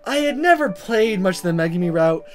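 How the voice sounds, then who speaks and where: A woman's voice: medium pitch, animated, fairly quick, faint music behind. A woman hosting a let's play, reading dialogue aloud dramatically and commenting in a playful, exaggerated way. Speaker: gaming video host.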